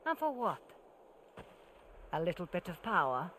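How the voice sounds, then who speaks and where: A woman playing a calm, cryptic, unyielding older mentor in a cinematic video game, speaking in a measured, grave way. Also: on the low side, a little hiss or hum, rough recording. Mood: defiant, reproachful.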